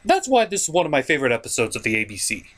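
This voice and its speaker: A man's voice: mid-range voice, huge pitch swings, very fast, fairly bright tone. A man hosting a comedic review show, narrating from a script. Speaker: host and reviewer.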